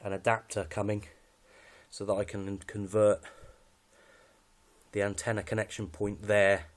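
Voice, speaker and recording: A man's voice: lowish voice, level tone, measured pace. A British man giving a casual, unscripted walkthrough of his kit in a matter-of-fact, slightly hesitant way. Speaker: hobbyist presenter showing radio kit.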